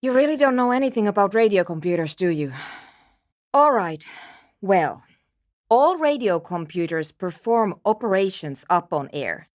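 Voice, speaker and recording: A woman's voice: mid-range voice, big pitch swings, unhurried, dry recording, clean studio sound. A woman playing a guarded, impatient and anxious programmer in a video game, with a terse, precise and matter-of-fact way of talking. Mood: curt, dry. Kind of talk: scripted game character dialogue.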